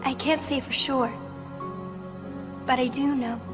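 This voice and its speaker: A wistful teenage girl in a video game, soft and solemn. The voice is high and slow, with music playing behind it. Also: fairly even intonation. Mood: earnest. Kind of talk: video game cutscene acting.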